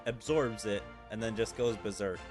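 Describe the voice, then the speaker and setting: A man's voice: on the low side, moderate pace. A man doing casual gaming commentary as a streamer, thinking aloud in a musing, speculative way. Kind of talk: casual commentary, reading game dialogue aloud. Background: game music.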